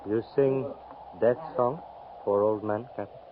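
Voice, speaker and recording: A man acting as a solemn, mournful scout who speaks plain, halting broken English in a 1950s radio western. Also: mid-range voice, steady tone, a crowd in the background, natural voice. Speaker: supporting actor in a radio western. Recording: muffled 1950s radio recording, faint noise.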